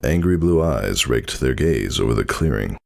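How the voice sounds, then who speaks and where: A man's voice: very deep voice, lively intonation, normal speed, gravelly. A man narrating a story as a scripted dramatic reading.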